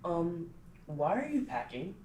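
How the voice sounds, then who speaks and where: A sarcastic, exasperated teenage older brother from an animated pilot, read aloud at a table read. The voice has lively, swinging intonation. It sounds roomy and distant from the mic. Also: medium pitch, measured pace, a little hiss or hum. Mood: skeptical.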